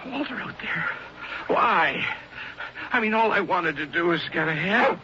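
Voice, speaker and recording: Panicky, high-pitched guilty man at sea. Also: expressive, measured pace. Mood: panicked. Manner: stammering, nervous, muttering to himself. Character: panicky guilty man alone at sea, scheming and self-pitying. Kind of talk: old-time radio drama acting. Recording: dull radio drama recording, heavy noise.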